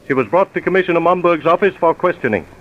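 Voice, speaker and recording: An actor playing the sober narrator of a crime drama, in scripted old-time radio style. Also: male voice, on the high side, quick pace, close to an everyday voice. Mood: sober, dramatic. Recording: dull vintage radio recording.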